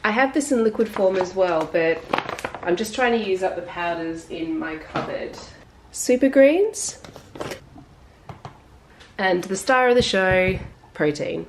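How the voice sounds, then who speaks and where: A woman, a little croaky from illness, narrating her daily routine in a soft, unhurried, reflective way. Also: mid-range voice, animated, noisy background. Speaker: lifestyle vlogger. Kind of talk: casual voice-over narration. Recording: voice-over with loud background music.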